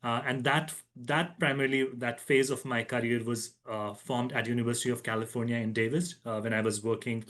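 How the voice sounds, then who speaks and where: A man's voice: mid-range voice, steady tone, a little fast, few pauses, a bit bright. A man in his thirties telling how his research career took shape, as a guest in a podcast interview, reflective with restarts and fillers. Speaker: guest, agronomy professor.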